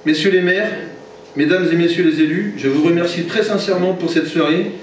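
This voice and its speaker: French guy reading a formal club speech, a bit high voice, super echoey hall, far from the mic. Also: fairly even intonation, a little fast, slight background noise. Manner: formal, reads from notes, stumbles and corrects himself. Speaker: football club president giving a speech. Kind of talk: formal prepared speech read aloud. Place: reception hall.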